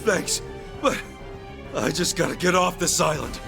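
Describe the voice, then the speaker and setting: An actor playing a panicked, frightened soldier survivor in a video game, shrill, frantic and breathless. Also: male voice, animated, measured pace, gravelly, music in the background. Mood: panicked, relieved. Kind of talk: video game cutscene acting.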